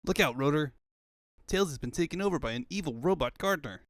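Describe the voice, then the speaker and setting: A man's voice: fairly high pitch, expressive, fast, dry recording, studio quality, lightly acted. A man voicing a brash, slangy young male hedgehog hero in a comic fandub. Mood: cocky. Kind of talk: comic fandub character acting.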